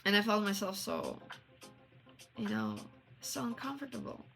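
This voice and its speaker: A woman livestreamer with a non-native English accent, chatting casually and haltingly as she searches for words. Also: mid-range voice, huge pitch swings, vocal fry, a bit bright, soft background music. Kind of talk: casual chat stream.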